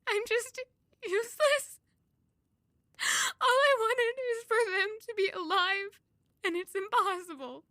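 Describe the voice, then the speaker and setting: A grieving young woman in an audio drama. Her voice sits high and sounds fragile, full of doubt and self-blame. The sound is dry, without any room around it. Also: slow pace, bright, forceful tone, no room sound, exaggerated voice. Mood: anguished, tearful. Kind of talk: emotional audio drama acting.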